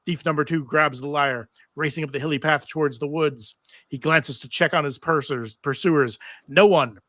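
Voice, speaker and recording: Bright-voiced man narrating a script aloud. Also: a little high, moderate pace, no room sound, studio quality. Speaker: table read narrator and panel host. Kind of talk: live reading of TV script stage directions with asides. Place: live convention panel.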